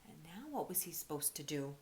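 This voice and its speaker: Female storyteller for young children, lowish voice, expressive read-aloud delivery, audible hiss. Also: brisk.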